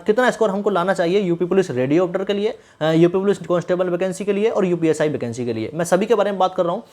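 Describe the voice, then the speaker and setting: A man's voice: high-pitched, darkish tone. A man giving an informal explainer and advice to viewers in an instructive way, repeating phrases for emphasis. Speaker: exam news YouTuber addressing candidates.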